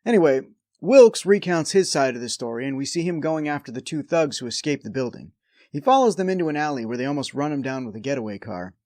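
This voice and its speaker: A man's voice: medium pitch, lively intonation, fast talker, darkish tone, no room sound. A man hosting a review show, delivering scripted comedic recap narration with a wry, sarcastic edge.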